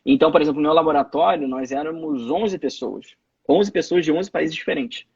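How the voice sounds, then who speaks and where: A man's voice: fairly high pitch, brisk, vocal fry, breathy edge, no room sound, lo-fi sound. A young Brazilian man in his twenties, a guest telling stories in a casual interview, with many restarts and repeated words. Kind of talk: casual interview over a live video call. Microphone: phone or laptop mic on a live stream.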